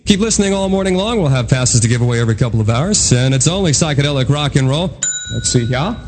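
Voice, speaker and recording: A man's voice: mid-range voice, lively intonation, fast talker, a little hiss or hum. A man working as a rock radio DJ, with punchy 1980s FM patter and announcer delivery. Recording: 1980s radio aircheck, music underneath. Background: music.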